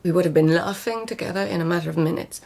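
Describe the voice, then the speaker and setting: Husky, low-voiced court lady, intimate and guarded. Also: fast. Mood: confiding. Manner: intimate, hushed, often breaking into whispered inner narration. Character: devout, passionate lady-in-waiting of an imperial court, watchful and guarded. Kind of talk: literary radio drama acting.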